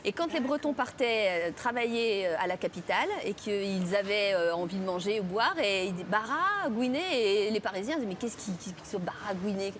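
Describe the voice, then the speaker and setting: A woman's voice: mid-range voice, expressive, fairly quick, few pauses. A woman hosting a radio show in casual chat, commenting and asking questions. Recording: clearly noisy background.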